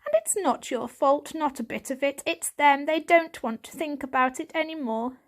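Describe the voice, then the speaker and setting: An old grandfather cat from a storybook, acted out by a woman, wise and full of secrets. The voice is pitched up and earnest, passing on old tales with care. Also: animated, normal speed. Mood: gentle.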